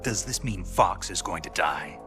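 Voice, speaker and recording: Weary American special forces soldier, low-pitched and sardonic, clipped lines for a military game. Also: male voice, expressive, unhurried, bright, forceful tone, faint music behind, exaggerated voice. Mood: wary, questioning. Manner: terse, sardonic. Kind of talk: cinematic game cutscene acting.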